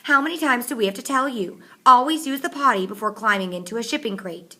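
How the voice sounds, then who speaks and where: A woman's voice: on the high side, lively intonation, fast, pushed and bright, pitched above the performer's own voice. Audiobook: woman reading as a dry, joking teen boy. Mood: wry. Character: wisecracking, easygoing teenage boy.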